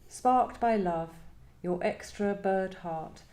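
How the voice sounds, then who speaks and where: A woman's voice: mid-range voice, slow pace, darkish tone. Woman poet in an echoey room. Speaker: poet, guest at a reading. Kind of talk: reflective discussion and poetry reading. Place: echoey indoor venue at a live event. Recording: roomy, echoing live venue.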